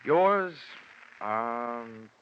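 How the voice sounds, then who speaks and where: An American man playing a wisecracking, hard-boiled insurance investigator in 1940s radio detective style, wry and deadpan. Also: medium pitch, drawn-out words, a little hiss or hum. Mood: wry, dry. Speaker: lead actor and narrator. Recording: dull old radio recording with music.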